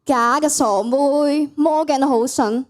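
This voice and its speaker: A woman's voice: high-pitched, slow. A teenage girl with a Hong Kong Cantonese accent playing a bossy, trend-savvy, teasing female friend in a student radio drama. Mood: teasing, persuasive.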